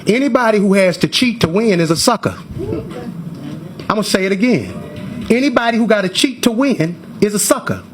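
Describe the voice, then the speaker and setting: A man's voice: high voice, expressive, normal speed, slight background noise. A forty-year-old American man giving impassioned public testimony to a committee in an emphatic, confrontational, oratorical manner. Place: government committee hearing room. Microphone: hearing microphone.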